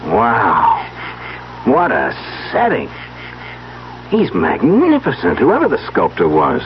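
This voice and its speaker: An actor playing a practical, soothing and inquisitive young husband, talking colloquially and a bit hesitantly in 1970s radio drama style. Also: male voice, lowish voice, wildly swooping pitch, slow pace, full character voice. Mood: impressed. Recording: dull, noisy 1970s radio recording.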